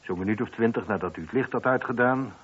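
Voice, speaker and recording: A low, husky detective inspector in his thirties, dry and probing. He has a sardonic edge, in a radio drama with dull sound. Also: quick pace, fairly bright tone. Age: 30s. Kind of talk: radio play acting. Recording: dull, lo-fi old radio recording.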